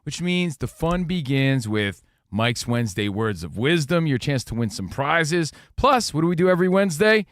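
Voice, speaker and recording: A man co-hosting a sports radio show, talking in an energetic, jokey way about baseball and pop culture. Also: a little high, normal speed, few pauses, no room sound, clean studio sound. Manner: energetic, hyped, jokey rhymes. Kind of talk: casual sports talk show banter.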